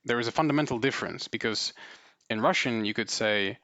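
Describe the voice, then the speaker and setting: A man's voice: medium pitch, a little fast, pushed and bright, no echo, lo-fi sound. A man presenting a video essay, explaining his points off the cuff with many fillers and tag questions. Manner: thinking aloud with many fillers and "right?" tags. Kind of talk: unscripted explanatory talk.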